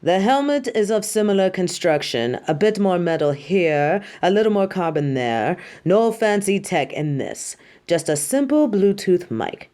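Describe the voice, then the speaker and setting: A woman's voice: on the low side, big pitch swings, a little slow, few pauses, warm tone. Woman playing a haughty, flamboyant superhero costume designer, swooping delivery. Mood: proud, showy. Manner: haughty, dramatic, doting, calls the listener darling. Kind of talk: theatrical roleplay monologue to the listener.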